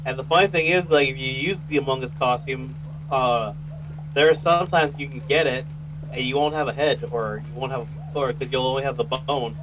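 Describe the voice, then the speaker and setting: A man's voice: medium pitch, fairly even intonation, brisk. A man chatting casually during a game stream, rambling and often restarting his sentences. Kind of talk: casual chat during gameplay. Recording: noisy with hum.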